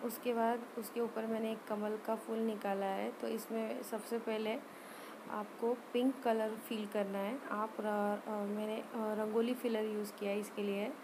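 A woman giving an informal step-by-step craft tutorial voice-over, hesitant and often pausing mid-sentence. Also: on the high side, flat delivery, noisy background. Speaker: rangoli art tutorial presenter.

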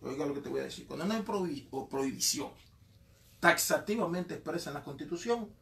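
Bright-voiced radio host. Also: male voice, fairly high pitch, lively intonation, a little fast, vocal fry, roomy sound, distant mic. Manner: teasing, banters, then lectures in long questions. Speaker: radio talk show host. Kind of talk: radio studio interview. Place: radio studio.

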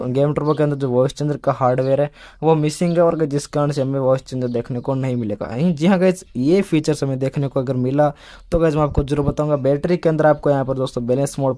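A man's voice: mid-range voice, dark tone, no room sound. A man hosting a tech video, explaining things casually and repetitively as he keeps addressing viewers as friends. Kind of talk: casual smartphone update explainer.

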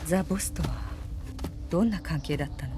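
An actress playing a poised young female spy in a video game cutscene, speaking in a cool, intimate, deliberate way. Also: low voice, lightly acted. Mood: probing, intimate. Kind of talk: dramatic game cutscene acting. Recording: music behind the voice.

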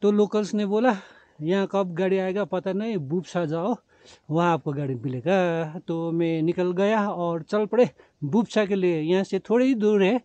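A man's voice: sits high, normal speed, dark tone, no echo. A man in his forties giving casual trek-vlog narration outdoors into a handheld camera, mixing in English words. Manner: mixes English words and phrases into speech. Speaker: travel vlogger. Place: outdoors on mountain roads. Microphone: camera held at arm's length. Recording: slightly dull, muffled camera audio.

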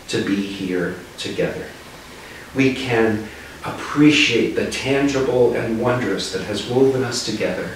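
A minister in his sixties giving a reflective sermon in a measured, solemn, deliberate way. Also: lowish voice. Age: 60s. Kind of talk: reflective sermon, read from notes. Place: living room with small seated group. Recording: echoey living room, distant mic, faint noise.

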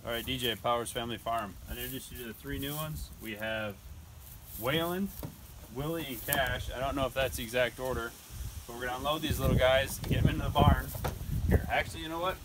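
A man's voice: mid-range voice, a little fast. A man hosting a farm vlog outdoors, casually explaining what he is doing as he works. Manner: easygoing, guiding and explaining as he works. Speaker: vlog host and farmer. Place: outdoors on a farm, windy and rainy. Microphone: camera mic outdoors. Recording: wind and outdoor noise loud. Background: wind and farm animals.

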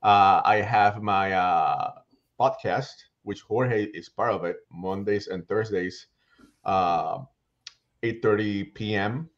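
A middle-aged man, a guest author talking on a video call in an animated way, with frequent fillers and restarts. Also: on the low side, slow pace. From Puerto Rico. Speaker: guest author and baseball writer. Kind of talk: casual video call interview. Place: home room. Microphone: headset microphone on video call. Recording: slightly dull, lo-fi call audio.

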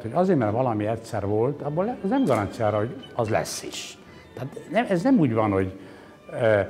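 A man's voice: mid-range voice, mellow. A man, explaining things reflectively in an interview, philosophizing with hesitations and emphatic points. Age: 60s. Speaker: exhibition curator interviewed. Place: indoor exhibition gallery. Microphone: lapel mic. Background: background music.

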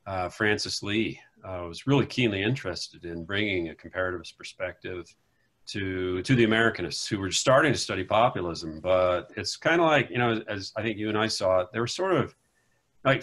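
Deep-voiced American academic explaining. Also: male voice, a bit bright. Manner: thoughtful, explanatory, with "you know" asides. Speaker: political scientist, co-author. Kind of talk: casual academic conversation. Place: home room.

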